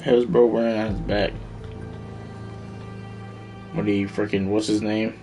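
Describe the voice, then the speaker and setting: A young man talks as a game streamer in a fairly low voice. His chat is casual and slangy, wandering and repeating itself, with faint music underneath. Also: little pitch movement, measured pace, dark tone. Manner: slangy, rambling, repetitive.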